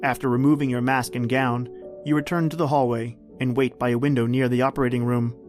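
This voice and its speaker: A man's voice: medium pitch, level tone, fairly quick, darkish tone, faint music behind. A man hosting and narrating a scripted old-time radio style suspense drama, close to the mic. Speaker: podcast host and narrator.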